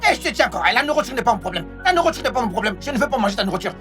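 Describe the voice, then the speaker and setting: A man's voice: extremely high pitch, very animated, rapid-fire, bright, forceful tone, soft background music, full character voice. A man playing a volatile, scheming husband in a film melodrama, repeating himself and stammering through his words. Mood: angry, dismissive. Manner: repetitive, stammering delivery. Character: volatile, scheming unfaithful husband with a short temper.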